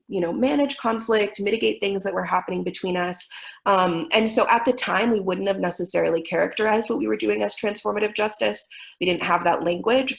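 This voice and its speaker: A woman's voice: lowish voice, gravelly. A young woman from Miami presenting a webinar in an earnest, thoughtful way with frequent fillers. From Miami, United States.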